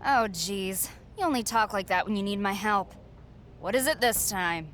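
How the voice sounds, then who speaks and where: A cocky female wrestling champion, played by a voice actress, speaks with sarcastic self-assurance. Her pitch is average, and the scene has the scripted feel of a video game, over faint background noise. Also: moderate pace, a bit bright, lightly acted. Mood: sardonic.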